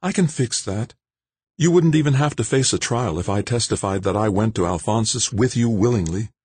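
A man's voice: fairly low pitch, expressive, normal speed, a little mellow, husky, no room sound, pitched above the performer's own voice. A man voicing a worried grown woman who pleads earnestly, in an audiobook. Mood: pleading. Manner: anxious, pleading.

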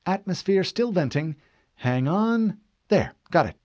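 Male narrator as a female ship AI, lifted pitch, clipped, cool-headed, matter-of-fact, sci-fi audiobook. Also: expressive, measured pace, darkish tone, no room sound, lo-fi sound. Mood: focused. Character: brisk, cool-headed female shipboard artificial intelligence.

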